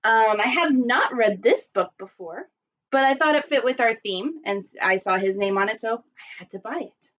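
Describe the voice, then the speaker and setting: Higher-voiced woman teacher, dull lo-fi recording. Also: moderate pace. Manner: expressive, asking the listeners questions. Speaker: primary school teacher. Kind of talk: children's storytime read-aloud with asides to listeners.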